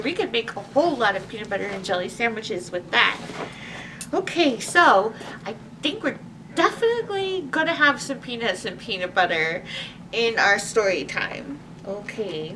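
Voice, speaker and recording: A woman presenting a storytime for young children, reading aloud and chatting to them in an animated, exclamatory way. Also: fairly high pitch. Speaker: library storytime host. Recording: distant microphone with faint background noise.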